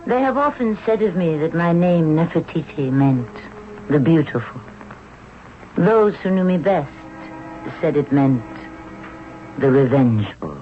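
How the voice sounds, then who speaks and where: A woman's voice: sits low, lively intonation. An actress playing a proud, vengeful young Egyptian queen, narrating dramatically in a 1970s radio drama. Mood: proud, ominous. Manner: slow, dramatic, portentous narration. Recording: dull old radio recording, music behind.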